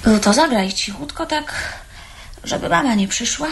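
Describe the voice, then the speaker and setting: A woman's voice: on the high side, animated, moderate pace, fairly bright tone. An actress playing a bold, teasing, impulsive teenage girl in a theatrical radio drama. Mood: playful, bossy. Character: bold, teasing, mischievous teenage girl, eager for grown-up secrets. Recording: noisy 1970s radio play recording.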